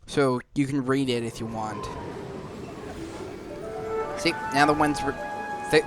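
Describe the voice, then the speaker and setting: Casting: male gaming host, medium pitch, informal and halting commentary over faint game music. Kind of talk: casual gameplay commentary.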